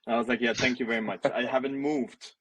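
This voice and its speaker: A man hosting an online AMA, talking in a joking, relaxed way. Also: medium pitch, fast talker, slight background noise. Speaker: host. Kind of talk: casual hosting chat.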